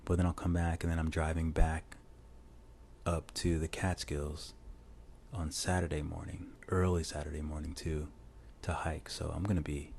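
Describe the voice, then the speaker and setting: A man with a very deep, dark-toned voice rambles easily through a drawing tutorial voice-over, often restarting his sentences. He talks into a lavalier mic on a phone, with a faint hiss underneath. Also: moderate pace, creaky. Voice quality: low voice. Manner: rambling, relaxed, many restarts. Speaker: illustrator narrating a drawing tutorial. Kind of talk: casual voice-over while drawing. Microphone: lavalier mic plugged into a phone.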